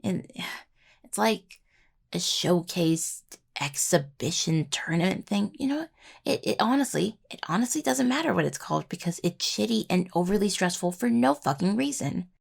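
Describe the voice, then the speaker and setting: Woman acting a creaky-voiced young necromancer student. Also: medium pitch, full character voice. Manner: hesitant, rambling, sweary. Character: young necromancer healing student, anxious, sweet, affectionate partner. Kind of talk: intimate roleplay audio acting, casual.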